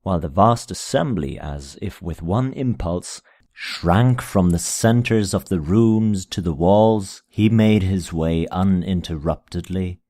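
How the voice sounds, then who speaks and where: A man narrating a gothic tale as a dramatic reading, in a solemn, measured manner with deliberate phrasing. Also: sits low, warm tone, dry recording, studio quality. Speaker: amateur audiobook narrator.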